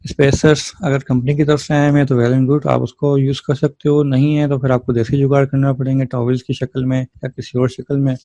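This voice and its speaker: A man's voice: medium pitch, flat delivery, a little fast, few pauses, mellow, no echo. A man lecturing as a physiotherapy teacher, explaining things step by step in an informal way and often checking in with listeners.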